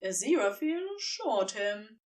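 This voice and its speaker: A woman's voice: medium pitch, very animated, moderate pace, darkish tone, far from the mic. A woman reading scripted story narration as the narrator of an audio fiction piece.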